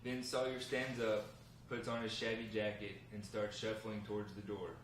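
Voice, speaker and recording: A man with a lowish voice reads scripted stage directions as a narrator. He is some distance from the mic in a roomy space, with faint noise. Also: flat delivery, moderate pace. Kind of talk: scripted narration at a table read.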